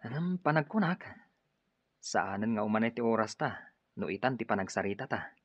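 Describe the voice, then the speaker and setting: A man with an Ilocano accent playing a smooth-talking, persuasive stranger in a radio drama. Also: on the low side, lively intonation, normal speed, no room sound. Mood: courteous, flattering. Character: Edgar, smooth-talking male stranger, persuasive recruiter for a group. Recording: dull lo-fi radio drama recording.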